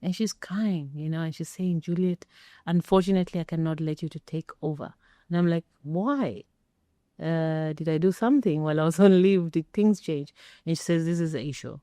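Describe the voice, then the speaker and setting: A middle-aged woman tells her story in an interview with a low, mellow voice. She speaks emphatically and pauses for effect. The sound is close and dry. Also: no echo. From Kenya. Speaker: interview guest, former air traffic controller. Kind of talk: personal storytelling in a sit-down interview.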